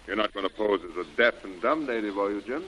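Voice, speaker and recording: A cautious, earnest friend of the hero in a vintage radio adventure. His voice is fairly low and has a worried, cautioning manner. There is faint hiss over the old recording. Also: expressive, fast talker, a bit bright. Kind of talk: old-time radio adventure serial acting.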